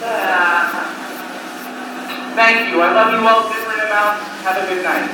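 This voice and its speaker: A distant, very echoey cassette recording of a man on stage doing stand-up. His voice is strikingly high for a man. He delivers one-liners deliberately, leaving long pauses between them. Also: fairly even intonation. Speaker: stand-up comedian. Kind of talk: live stand-up comedy set of one-liners. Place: live comedy venue. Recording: noisy cassette tape, echoey room, distant mic.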